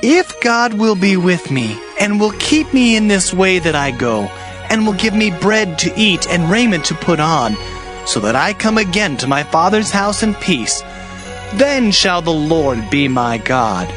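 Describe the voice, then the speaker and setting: Man as young biblical traveler, high-pitched, animated, earnest, declamatory, audio scripture drama. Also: measured pace. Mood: earnest vow. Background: music.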